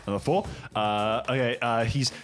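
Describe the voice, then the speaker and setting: A man narrating an improvised comedy tabletop game as game master, halting and animated. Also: mid-range voice, soft background music.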